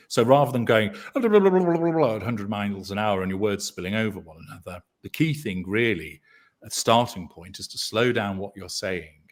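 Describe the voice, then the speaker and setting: Man, deep baritone, measured guest commentator with dry humor. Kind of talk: casual chat.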